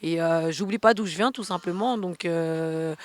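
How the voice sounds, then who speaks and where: A woman's voice: on the low side, moderate pace. A young woman, a singer answering interview questions casually and repeating phrases for emphasis. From France.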